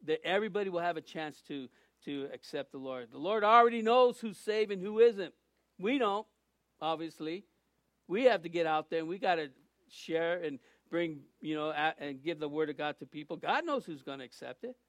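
An older man preaching in a relaxed, rambling way, with asides and little jokes. His voice sits high for a man. The sound is close and dry, with no room echo. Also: moderate pace. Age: 60s. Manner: folksy, rambling, with jokes and restarts. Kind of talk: informal sermon.

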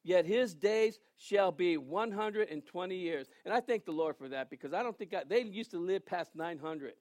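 A man in his sixties preaches with a high voice, trading jokes and asides with his listeners before slowing down to read scripture. The sound is dry and close, with no room echo. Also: expressive, darkish tone. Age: 60s. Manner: joking, conversational preaching with asides; reads scripture aloud slowly. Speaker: pastor. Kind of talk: sermon.

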